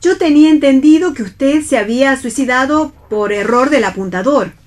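A woman acting a pious, earnest, gently admonishing figure in a play reading for radio, speaking in sententious lines. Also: high voice, normal speed, lightly acted. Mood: dry.

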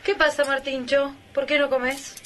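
Woman acting a high-voiced, worried survivor. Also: flat delivery, measured pace, fairly bright tone, recorded from a distance, a little hiss or hum. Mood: watchful. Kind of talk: radio play acting.

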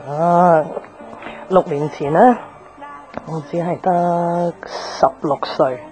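An actress playing a guarded, jaded young tomboyish bar owner in a radio drama, offhand and slangy with a Hong Kong Cantonese accent. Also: female voice, on the low side, steady tone, very slow, darkish tone. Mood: rueful, confiding. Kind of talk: naturalistic radio drama acting. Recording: music behind the voice.

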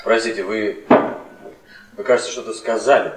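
Low-voiced awkward young man played by an actor, echoey. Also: steady tone, normal speed, a little hiss or hum, close to an everyday voice. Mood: hesitant. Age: young adult. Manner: hesitant, embarrassed, polite. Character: awkward, polite young academic guest, hesitant and uneasy. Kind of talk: Soviet radio theatre acting. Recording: echoey room, far from mic.

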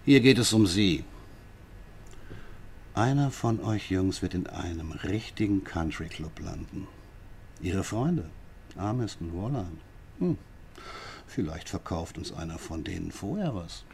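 Sly, low-voiced police inspector. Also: male voice, lively intonation, creaky, a little mellow, a little hiss or hum, full character voice. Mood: pressing. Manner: drawn-out, needling questions. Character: sly, patronising male police inspector interrogating a prisoner. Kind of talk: radio drama acting.

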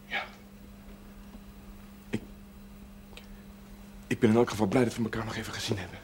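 An actor playing a polite, shy young farmhand turned soldier, speaking quietly and hesitantly in a television period drama. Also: male voice, fairly low pitch, steady tone, moderate pace, a little hiss or hum, lightly acted. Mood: subdued, polite.

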